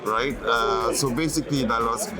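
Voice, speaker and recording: A man from Cameroon speaks as a guest in a relaxed interview, talking at length and often checking in with "right?". His voice sits in a middle pitch range. Loud music plays under his voice. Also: moderate pace. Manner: talkative, fluent, leans on "right?" and "you know". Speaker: company founder, guest. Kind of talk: casual interview.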